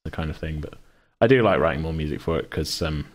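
A man with a very low voice hosts a casual podcast conversation. He comes across as self-deprecating and chuckles readily as he talks. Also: lively intonation, fast. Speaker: podcast host and game master.

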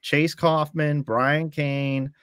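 A man's voice: fairly high pitch, flat delivery, drawn-out words, fairly bright tone, smooth, dry recording, studio quality. A middle-aged man, a guest bowling commentator on a video call from home, telling long stories and acting out quoted dialogue as he goes. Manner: storytelling, acting out quoted dialogue, restarts phrases. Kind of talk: casual podcast chat. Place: room at home.

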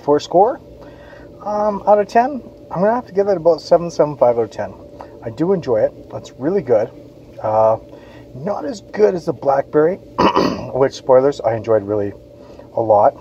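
A man's voice: on the high side, animated, moderate pace, mellow, dull audio, a little hiss or hum. A man from Western Canada, a parent, giving a casual, chatty beer review on an outdoor patio. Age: adult, parent of kids. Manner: chatty, informal, reads label aloud.

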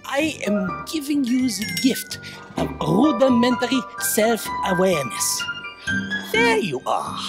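A whimsical, self-satisfied space captain, played by a woman. The delivery is slow, musing and theatrical, swooping up and down, over loud music. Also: on the high side, heavily acted. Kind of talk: staged comic audio drama acting.

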